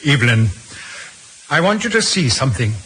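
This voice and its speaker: An actor playing a formal, guarded doctor and husband in an old radio drama, speaking with careful hesitation. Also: male voice, a little high, sing-song, fairly quick, a bit bright, a little hiss or hum, low-quality recording. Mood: grave. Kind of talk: 1940s-50s radio acting.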